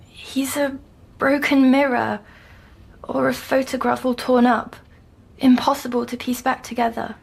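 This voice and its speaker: A woman playing a timid young girl in an audio drama, haltingly recounting her nightmares in a hushed, haunted way. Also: high voice, little pitch movement, vocal fry, husky, heavily acted. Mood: guarded, reluctant. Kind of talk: audio drama acting, slow dreamy narration.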